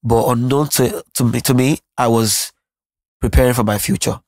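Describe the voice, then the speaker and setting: Nigerian man, Yoruba native speaker, earnest musician guest, level even tone, dry close mic. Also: mid-range voice, moderate pace, dry recording. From Nigeria (Lagos). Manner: earnest, reflective, frequent restarts. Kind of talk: candid interview conversation.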